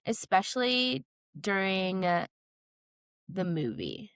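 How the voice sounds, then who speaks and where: Young woman, mid-pitched voice, casual slangy podcast co-host, conversational, dry close sound. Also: expressive, slow pace, a bit bright, clear, resonant tone, no echo. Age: young adult. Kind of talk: casual pop culture chat.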